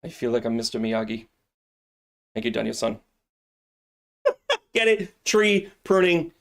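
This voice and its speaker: A middle-aged man chats through a live product demo from his shop. He rambles and cracks jokes between sales pitches, at an ordinary middle pitch. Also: very animated, unhurried, vocal fry, a bit bright, a little hiss or hum. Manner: joking, rambling, salesy asides. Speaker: shop owner and live-stream host.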